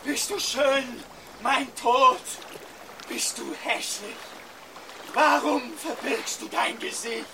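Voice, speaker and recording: A man's voice: very high voice, steady tone, takes it slowly, very noisy. An actor playing a grandiose, unhinged king in a radio play, with an exalted, declamatory delivery. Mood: rapturous, yearning. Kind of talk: German radio play acting.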